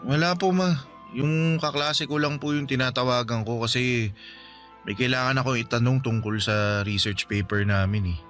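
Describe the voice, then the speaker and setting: A man acts as a devoted young son in a radio drama. He is respectful and earnest, quick to get defensive, with a mid-pitched voice over faint music. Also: fairly even intonation, a bit bright, natural voice. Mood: evasive. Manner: respectful, addressing his mother politely. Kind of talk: Filipino radio drama acting.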